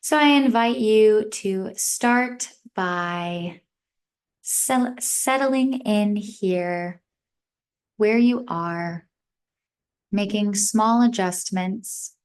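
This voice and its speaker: A woman meditation instructor speaks at a very slow pace in a mid-range voice. She guides listeners gently, giving short, measured instructions and leaving long pauses between phrases. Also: mid-range voice, smooth.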